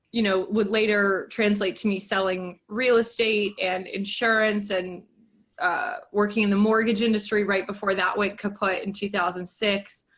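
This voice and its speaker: A woman's voice: mid-range voice, fairly bright tone. Chatty, candid woman podcast guest. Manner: candid, chatty, self-deprecating, laughs often. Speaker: yoga business coach and guest. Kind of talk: podcast interview.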